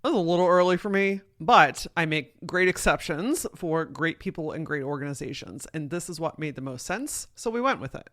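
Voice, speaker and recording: Woman host, 30s, low deep voice, casual solo podcast storyteller who swears freely. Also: animated, fairly quick, hardly any pauses, fairly bright tone. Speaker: podcast host and keynote speaker.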